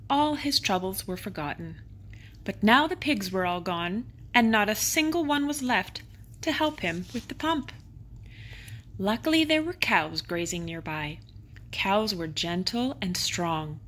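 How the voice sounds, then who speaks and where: A woman with a medium-pitched voice reads a bedtime storybook aloud to children. She narrates expressively, stretching out key words and leaning into dramatic moments. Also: a bit bright. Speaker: storybook reader.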